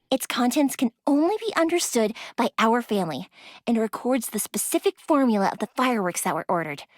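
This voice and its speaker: A bubbly young fireworks maker, high and bright, her voice bouncing all over as she chatters. She giggles easily and softens when a story turns serious. It is a dry, close studio recording for a game. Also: no echo. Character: cheerful young woman fireworks maker, playful and warm. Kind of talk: game character voice lines. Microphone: close studio mic.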